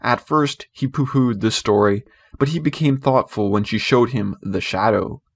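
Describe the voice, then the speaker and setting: Male audiobook reader, mid-range pitch, scripted narration of classic children's fiction. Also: normal speed, husky.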